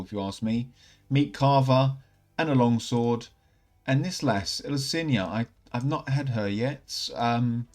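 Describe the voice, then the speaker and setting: A man, slightly croaky from a cold, chatting casually and rambling as he opens game packs for his channel. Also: mid-range voice, a little mellow. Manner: chatty, upbeat, rambling with many fillers. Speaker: gaming channel host. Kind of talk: casual commentary while opening game packs.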